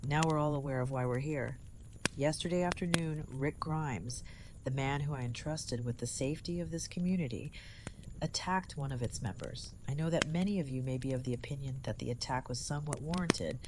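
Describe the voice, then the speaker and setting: A woman's voice: a bass voice, moderate pace, very noisy. Stern, formal woman leader voiced by an actor. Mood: stern, official. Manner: formal speechmaking. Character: stern, formal female community leader, Deanna. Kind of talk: audio drama acting.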